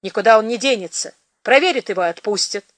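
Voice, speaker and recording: A woman voicing a gruff, commanding man who speaks brusquely. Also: medium pitch, fast talker, no echo, clean studio sound, lightly acted. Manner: brusque, bossy.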